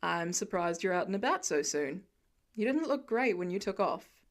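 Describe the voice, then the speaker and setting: A woman voicing a calm, fatherly middle-aged male lieutenant in a narrated story, measured and polite. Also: mid-range voice, pitched below the performer's own voice, natural voice. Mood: concerned.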